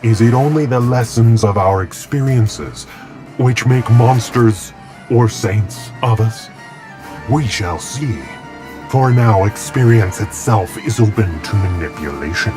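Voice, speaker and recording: A man's voice: fairly low pitch, slow pace, over music. A man playing a cold, imperious, scheming supervillain who makes pompous, theatrical pronouncements in a superhero drama. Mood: cold, ominous. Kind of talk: scripted superhero audio drama.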